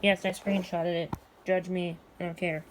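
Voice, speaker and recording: A woman's voice: lowish voice, little pitch movement, normal speed, a little hiss or hum. An American woman in casual vlog chat, talking in an offhand way with muttered asides. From United States.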